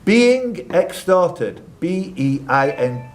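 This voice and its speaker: A man's voice: sits high, big pitch swings, extremely slow. A man hosting a live quiz, reading out questions with jokey, teasing asides to the contestants. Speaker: quiz host and question reader.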